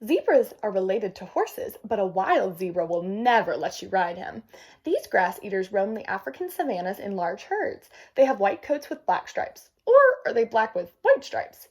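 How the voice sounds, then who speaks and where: A woman's voice: medium pitch, sing-song, moderate pace, a little mellow, husky. A woman reading a children's nature book aloud, with casual teacherly asides to young listeners. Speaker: read-aloud presenter.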